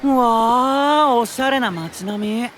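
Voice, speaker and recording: A man's voice: very high-pitched, slow, a bit bright, slight background noise, exaggerated voice. An actor plays a curious, easygoing teenage boy knight in an anime audio drama, casual and open in manner.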